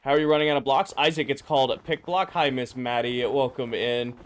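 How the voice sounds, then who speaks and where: A man streaming a game, his voice slightly hoarse and drowsy, casually greeting viewers and answering chat questions. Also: mid-range voice, fairly even intonation, a little fast. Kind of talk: casual live-stream chat while playing.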